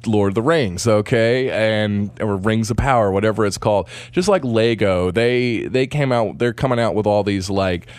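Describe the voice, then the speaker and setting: A man's voice: on the low side, moderate pace, few pauses, darkish tone, dry recording, clean studio sound. A man in a casual podcast chat, talking in a rambling, sarcastic way, restarting his sentences and filling the gaps with "uh" and "like". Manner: rambling, sarcastic, trails off into fillers.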